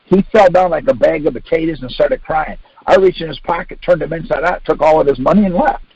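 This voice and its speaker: Older American male, husky and high-pitched, explaining informally and insistently on a lo-fi conference line. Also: brisk, darkish tone, no room sound. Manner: insistent, repetitive, uses rhetorical questions. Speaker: speaker leading a conference call. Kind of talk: informal instructional talk with anecdotes and acted-out exchanges. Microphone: phone conference line. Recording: dull lo-fi conference call audio.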